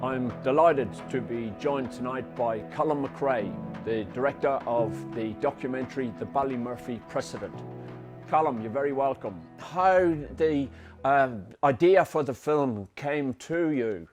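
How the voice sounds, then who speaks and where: A man's voice: mid-range voice, a little slow, music in the background. A man who directs a film festival, hosting a Q&A and asking questions hesitantly.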